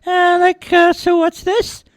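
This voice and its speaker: A woman's voice: very high voice, monotone, takes it slowly, no echo, clean studio sound, pitched above the performer's own voice. A woman voicing a cool, cheeky female cat who talks in hesitant slang peppered with meows. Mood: casual, unimpressed.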